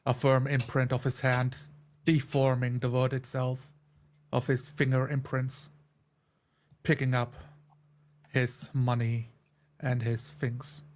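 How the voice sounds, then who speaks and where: A man's voice: medium pitch, flat delivery, slow pace, pauses often. A man narrating, recorded with some faint noise and ambience behind him.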